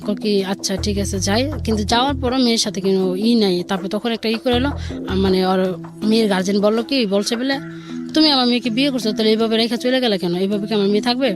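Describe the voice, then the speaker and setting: A woman's voice: medium pitch, quick pace. A woman guest telling a personal story informally, rambling and quoting dialogue as she goes. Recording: music bed under the voice. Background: background music.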